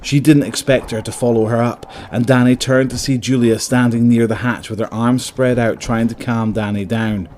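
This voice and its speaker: A man's voice: medium pitch, steady tone, moderate pace, few pauses, darkish tone, slight background noise. A man narrating a scripted dramatic story as the narrator of an audio drama.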